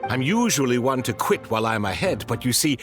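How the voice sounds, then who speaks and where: An actor playing a ruined, vengeful crime boss in a video game, menacing and theatrical. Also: male voice, mid-range voice, very animated, brisk, a bit bright, faint music behind. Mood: bitter, angry. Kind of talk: dramatic video game voice acting.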